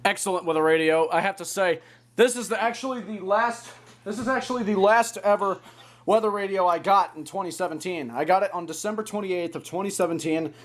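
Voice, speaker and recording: High-voiced young man, excitable and crude. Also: fairly quick. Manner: excitable, crude joking, swearing. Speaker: video host. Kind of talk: casual hobby show-and-tell.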